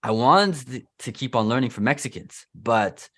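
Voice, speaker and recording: A man's voice: mid-range voice, lively intonation, normal speed, fairly bright tone. A young Canadian man with a Canadian accent hosting a casual interview, talking loosely with lots of restarts and fillers. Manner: chatty, loose, heavy use of "like" and restarts. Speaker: host.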